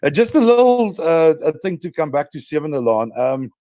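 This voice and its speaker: A man's voice: on the high side, very animated, normal speed, mellow, no echo. A man co-hosting an interview, telling rambling stories with hesitant fillers. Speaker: co-host. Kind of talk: casual interview chat.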